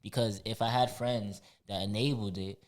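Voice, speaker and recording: A man's voice: fairly low pitch, fairly even intonation, normal speed. Young man agreeing in casual chat. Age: 20s. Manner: agreeable, backs the speaker up with short replies. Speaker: podcast co-host.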